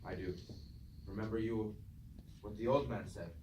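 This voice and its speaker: An actor playing a weary, noble old robot war leader in formal, declamatory stage-tragedy style. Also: male voice, on the low side, steady tone, moderate pace, close to an everyday voice. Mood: mournful, gentle. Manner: formal, declamatory, archaic phrasing. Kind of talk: theatrical Greek-tragedy stage acting. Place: indoor room, staged reading at a university. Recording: distant, echoey, noisy room recording.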